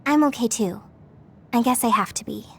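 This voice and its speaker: A woman's voice: fairly high pitch, moderate pace, gravelly, fairly bright tone, husky, heavily acted. A woman voicing a sweet, caring young female soldier in an anime-style video game. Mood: resigned.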